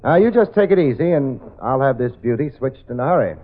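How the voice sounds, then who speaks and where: An actor playing a wisecracking American private detective in 1940s radio drama, dry, streetwise and sardonic. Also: male voice, mid-range voice, animated, brisk, natural voice. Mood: brisk. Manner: dry, sardonic, hesitant asides. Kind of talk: 1940s radio detective drama acting, with first-person narration. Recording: tinny old radio recording, music.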